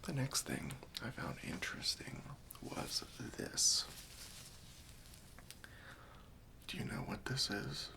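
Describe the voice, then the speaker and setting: A man playing a gentle, patient helper in a softly spoken ASMR roleplay, coaxing and reassuring as he asks questions. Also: mid-range voice, big pitch swings, measured pace, vocal fry, full character voice. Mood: gently coaxing. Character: gentle, patient, friendly male helper for hoarders.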